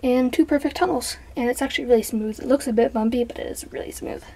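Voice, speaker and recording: A young woman, congested from allergies, chatting casually and softly as she shows off her collection, sometimes dropping to a whisper. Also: a little high, fast talker, creaky. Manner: chatty, rambling, soft, drops into whispers. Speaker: vlogger. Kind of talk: casual show-and-tell vlog. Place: bedroom. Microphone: handheld camera.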